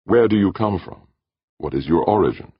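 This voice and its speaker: A man's voice: sits low, lively intonation, normal speed, slightly rough, dry recording, dull audio, pitched above the performer's own voice. A man voicing a curious, awed man from Earth in an audiobook, politely questioning. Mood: probing.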